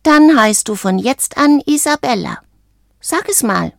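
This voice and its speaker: A woman voicing a boastful, stubborn young male frog in a high, squawky, self-important voice for a storybook. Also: lively intonation, unhurried, creaky, dry recording, studio quality, heavily acted. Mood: important, friendly.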